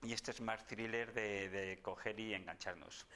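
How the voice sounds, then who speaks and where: Low-voiced Spanish man, halting speech. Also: steady tone, sharp tone. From Spain. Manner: halting, repeats words while thinking. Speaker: bookseller guest. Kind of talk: casual chat.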